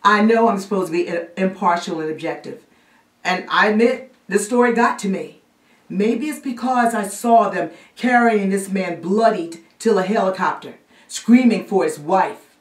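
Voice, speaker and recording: A woman's voice: mid-range voice, normal speed, voice pushed up, natural voice. Woman performing indignant female reporter, emphatic pleading, stage monologue, echoey room, distant mic. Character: impassioned female newspaper reporter pleading with her editor. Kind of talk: theatrical monologue. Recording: roomy echo, distant microphone.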